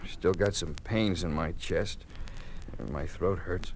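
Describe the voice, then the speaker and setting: Casting: weary, sullen American patient, low register, vintage radio drama, faint noise. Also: male voice, takes it slowly. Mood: glum. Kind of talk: 1950s radio acting. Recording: faintly noisy old radio recording.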